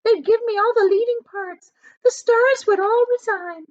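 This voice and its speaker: Storybook cruel fat aunt, grandiose and boastful, very high swooping voice, slightly dull recording. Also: female voice, moderate pace. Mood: vain, preening. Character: vain, hideous fat aunt, bossy and cruel.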